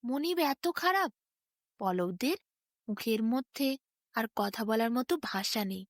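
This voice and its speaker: A woman's voice: fairly high pitch, animated, slow, no room sound, clean studio sound. A woman storyteller narrating a bedtime tale expressively, in dramatic short phrases.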